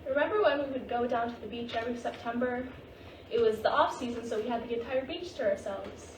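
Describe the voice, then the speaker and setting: Woman acting a gentle grandmother's ghost, distant and echoey. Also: a little high, close to an everyday voice. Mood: fond, wistful. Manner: patient, reassuring, unhurried guiding. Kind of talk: staged play reading. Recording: distant, noisy, echoey staged reading.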